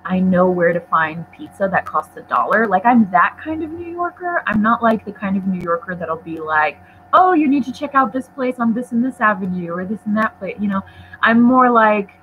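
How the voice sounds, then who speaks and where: A woman's voice: medium pitch, big pitch swings, normal speed. Young woman vlogger born in Poland, rambling and self-deprecating, heard through tinny phone-like audio. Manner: chatty and rambling, many "um" and "like", self-deprecating asides. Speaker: book vlogger. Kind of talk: casual livestream chat, answering viewer questions. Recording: tinny phone-like livestream audio, faint noise.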